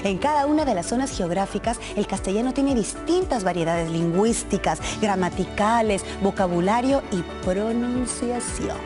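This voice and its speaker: A woman's voice: mid-range voice, normal speed, few pauses, over music. A woman presenting an educational TV lesson, asking the viewers questions. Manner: animated, asks viewers questions. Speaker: TV teacher.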